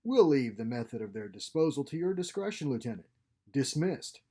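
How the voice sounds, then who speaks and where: A man's voice: medium pitch, very animated, normal speed, dark tone, slightly rough. A man voicing a stern, threatening starship captain with clipped, commanding politeness in a story read aloud. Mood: smug.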